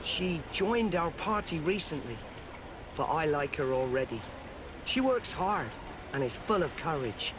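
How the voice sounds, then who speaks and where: An actor voicing a friendly, courteous ship's sailor in a video game, polite and earnest. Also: male voice, on the high side, expressive, unhurried, noisy background. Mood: warm, earnest.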